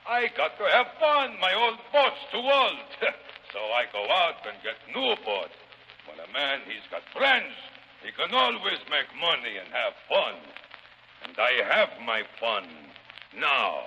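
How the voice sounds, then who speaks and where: An old radio serial villain: a boastful sea captain with a thick foreign accent and broken English. His fairly high, piercing voice swings up and down as he taunts and threatens. The vintage recording has light noise. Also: very animated, a little slow, sharp tone, big-room sound, full character voice, poor audio. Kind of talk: 1930s radio acting.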